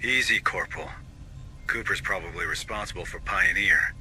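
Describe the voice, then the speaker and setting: A male actor playing a steady, dryly sardonic military squad leader in a video game. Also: low voice, normal speed. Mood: measured, wary. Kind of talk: video game cutscene dialogue.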